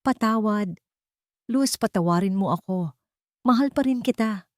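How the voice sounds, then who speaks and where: A woman's voice: mid-range voice, a little slow, dry recording, studio quality, pitched above the performer's own voice, lightly acted. A woman voicing a guilty cheating husband in an audiobook drama, weak, desperate and begging in a broken, tearful manner. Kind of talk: dramatic audiobook character acting.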